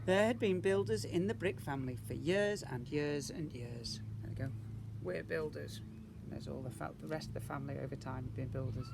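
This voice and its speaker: A man's voice: mid-range voice, brisk, gravelly, few pauses, heavy background noise. A man reading a picture book to young children as a teacher, emphatically, with rhetorical questions and asides. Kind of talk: animated picture-book storytelling.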